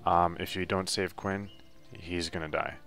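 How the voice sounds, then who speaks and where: A man's voice: deep voice, flat delivery, measured pace, fairly bright tone, soft background music. A man giving a casual, unscripted video game walkthrough in a loose, hesitant, laid-back way. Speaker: video game guide narrator.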